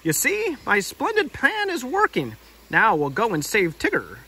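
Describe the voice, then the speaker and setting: Guy doing a bossy pompous storybook rabbit, cranky, pitched up, really animated. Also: measured pace, slightly rough, far from the mic, heavily acted. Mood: self-satisfied, insistent. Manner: pompous, declaring. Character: bossy, scheming, irritable male storybook rabbit.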